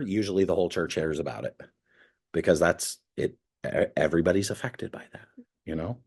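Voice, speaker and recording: Husky, low-voiced pastor teaching. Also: male voice. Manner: candid, animated storytelling, voice jumps up when excited. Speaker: pastor teaching a ministry class. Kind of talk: classroom teaching with personal stories.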